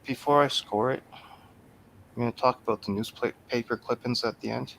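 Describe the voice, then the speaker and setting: A man's voice: mid-range voice, fairly even intonation, moderate pace, a bit bright, poor audio. A man chatting casually as a guest reviewer on a movie radio show, with dry, joking remarks. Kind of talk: casual chat, movie banter. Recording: clear background noise, room ambience.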